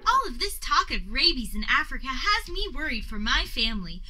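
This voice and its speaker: A woman's voice: high voice, very animated, brisk, bright, forceful tone, exaggerated voice. A woman playing a worried family woman in a scripted radio commercial. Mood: worried, curious. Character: worried mother type in radio drug ad.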